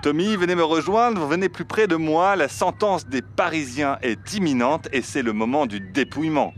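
A man's voice: a little high, normal speed, close to an everyday voice. A man playing a solemn, suspense-building reality show host in a parody audio fiction, announcing in a grandiose, dramatic way. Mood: grave, theatrical.

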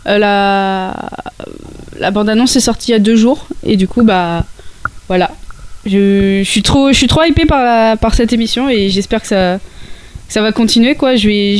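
Higher-voiced woman rambling on a podcast, lots of ums and restarts, faint hiss behind. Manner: hesitant, many fillers and restarts. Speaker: podcast columnist on cosplay. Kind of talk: casual podcast chat.

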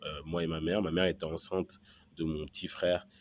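A man with a very deep voice speaks as an interview guest. He tells a personal story haltingly, repeating words while he looks for the right phrasing. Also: flat delivery. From born in Central Africa, raised in Belgium from early childhood. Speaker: podcast guest.

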